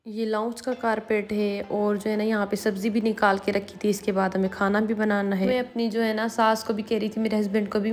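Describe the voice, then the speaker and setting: A woman in her thirties with a Pakistani Urdu accent, hoarse from a cold, chatting casually and at length while vlogging at home. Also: mid-range voice. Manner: chatty, rambling, frequent fillers. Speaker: vlogger, housewife. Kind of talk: casual home vlog chat. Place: at home, indoors.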